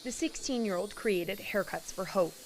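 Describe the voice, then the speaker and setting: A woman delivers scripted news narration as a reporter. Her voice is mid-pitched. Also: normal speed.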